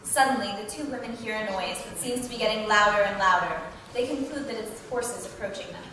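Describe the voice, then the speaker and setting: A woman's voice: mid-range voice, a little fast, a crowd in the background. Echoey, distant woman narrating a script. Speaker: narrator reading stage directions. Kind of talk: scripted narration at live table read. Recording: very echoey hall, distant, noisy.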